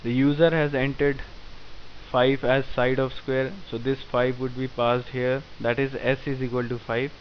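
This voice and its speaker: A man's voice: medium pitch, little pitch movement, a little slow. A man teaching programming in a screen-recorded tutorial, explaining code step by step in a methodical way. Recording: dull lo-fi sound with faint hiss.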